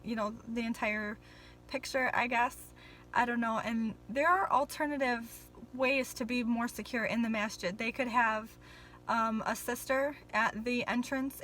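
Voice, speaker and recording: A woman's voice: a little high, a bit bright, a little hiss or hum, rough recording. A young woman vlogging in a casual, rambling way, full of ums and apologies, a little nervous on camera.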